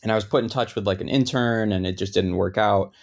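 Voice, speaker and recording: Low-voiced man telling stories casually. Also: fast talker, vocal fry. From Lebanon. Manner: relaxed, lots of "like" fillers. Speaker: guest, thru-hiker. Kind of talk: casual interview storytelling.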